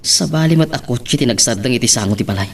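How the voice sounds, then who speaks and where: A responsible, deferential brother in a radio drama. His voice is middling in pitch and polite, with faint ambient noise behind it. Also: animated, fast talker, vocal fry. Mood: polite, puzzled. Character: polite, responsible adult brother of a troublesome sibling.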